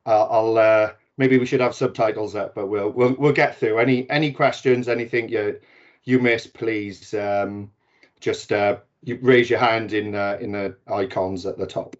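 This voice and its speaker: A man's voice: on the low side, fairly even intonation, moderate pace. A man in his forties with a Welsh accent, giving a chatty, self-deprecating talk as a guest speaker on a video call. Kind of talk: webinar talk. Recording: dull lo-fi video call audio.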